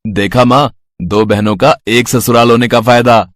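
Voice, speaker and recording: A man's voice: mid-range voice, steady tone, unhurried, no echo, clean studio sound, close to an everyday voice. An actor playing a reasonable, earnest young married man in a storybook tale. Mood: approving.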